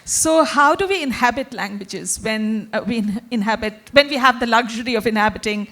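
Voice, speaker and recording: A woman moderating a literary festival panel, with a voice that sits slightly high. She shapes her questions slowly and hesitantly, circling through fillers as she searches for the right words. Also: a bit bright. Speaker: panel facilitator.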